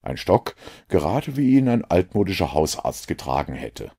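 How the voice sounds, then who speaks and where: A man's voice: sits low, sing-song, normal speed, dark tone, breathy edge. A man reading a story aloud as an audiobook narrator.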